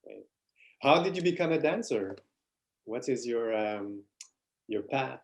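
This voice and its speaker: A man's voice: mid-range voice, expressive, a little slow. A man interviewing a guest over a video call, hesitant and full of filler sounds.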